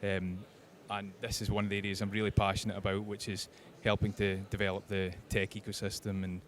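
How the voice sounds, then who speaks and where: A man's voice: fairly low pitch, monotone. A man with a West Coast Scottish accent introducing himself as a panelist, repeating words as he goes. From west coast of Scotland. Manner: stammering repetitions, measured. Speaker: panelist, consultant.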